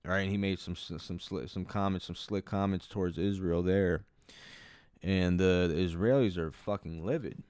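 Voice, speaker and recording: A man's voice: low voice, steady tone, normal speed, darkish tone, clean tone, no echo, clean studio sound. A man hosting a reaction video, talking in loose, profane, rambling commentary with lots of restarts. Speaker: commentary channel host.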